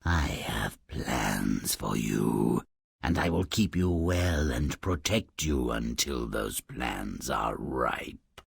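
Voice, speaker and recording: A cruel fairy-tale game villain bent on revenge. His voice is deep and breathy, almost hissing in places, and slowly threatening. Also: animated, no room sound, clean studio sound, exaggerated voice. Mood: menacing. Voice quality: husky, breathy, low. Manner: slow, threatening. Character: cruel, scheming, vengeful male villain.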